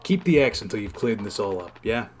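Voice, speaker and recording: An actor playing an affable, responsible spaceship captain in a game dub, with a casual, reassuring manner. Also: male voice, on the low side, big pitch swings, brisk, soft background music. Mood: firm. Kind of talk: game fandub voice acting.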